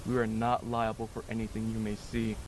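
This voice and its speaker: A man's voice: fairly low pitch, monotone, a little fast, lightly acted, poor audio. A man playing a formal, stiffly polite aquarium staff announcer in an analog horror video, cheerful and impersonal. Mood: formal, upbeat.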